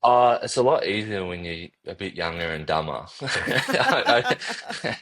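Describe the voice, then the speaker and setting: A man's voice: lowish voice, very animated, measured pace, a bit bright. A man, a founder talking as a podcast guest in a laid-back, casual way, chuckling often and swearing now and then. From Australia. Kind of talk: casual interview chat.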